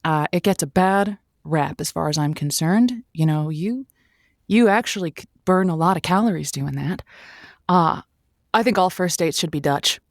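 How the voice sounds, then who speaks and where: A woman plays a waffling radio advice host who hedges, stammers and restarts, eager to please every caller. Her voice sits a touch low, recorded close and dry. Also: animated, moderate pace, no room sound. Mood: flustered. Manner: hesitant, stumbling and restarting. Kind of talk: improvised audio drama.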